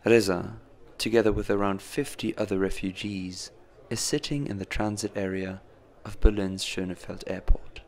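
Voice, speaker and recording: A man narrating a scripted documentary story in a measured, deliberate manner. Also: lowish voice, steady tone.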